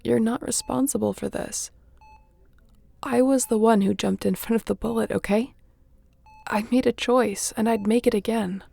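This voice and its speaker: A woman playing a wounded starship captain in a sci-fi audio roleplay, wry and caring, speaking haltingly and softly with a breathy, gravelly voice. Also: fairly high pitch, fairly even intonation, dry recording. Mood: concerned, earnest. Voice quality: gravelly, often breathy and whispery.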